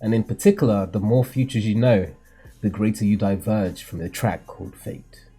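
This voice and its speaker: A man voicing a solemn, cryptic mentor who sees the future, in a theatrical, measured, formal manga read-aloud. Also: fairly low pitch, steady tone, dark tone, breathy edge, soft background music. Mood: grave, cryptic.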